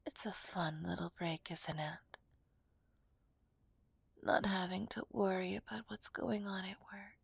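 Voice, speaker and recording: A woman playing a seductive, menacing villain kidnapper in a whispered ASMR roleplay, breathy, teasing and possessive. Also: medium pitch, no room sound. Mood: teasing, dominant. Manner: slow, teasing, dominant.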